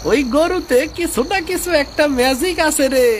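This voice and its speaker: A woman's voice: sits high, normal speed, a little hiss or hum, close to an everyday voice. A woman voicing an envious, scheming village neighbour in a cartoon. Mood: sly, scheming. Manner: sly, suspicious. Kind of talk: cartoon dialogue.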